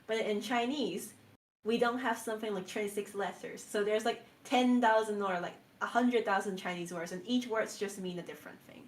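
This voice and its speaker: A woman's voice: medium pitch, normal speed. A young woman, a native Cantonese speaker with a Cantonese-influenced English accent, chatting casually on a stream with frequent fillers and laughs. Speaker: VTuber guest.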